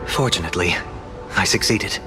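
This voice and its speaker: An actor playing a gentle, sincere young male traveller in a game, calm and thoughtful. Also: sits low, normal speed, over music, close to an everyday voice. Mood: reflective, tired. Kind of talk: scripted game dialogue.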